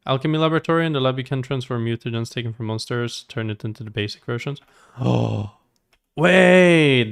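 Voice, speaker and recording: A man doing casual, upbeat gameplay commentary as a streamer, thinking aloud as he goes. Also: mid-range voice. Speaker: game streamer. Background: faint game music.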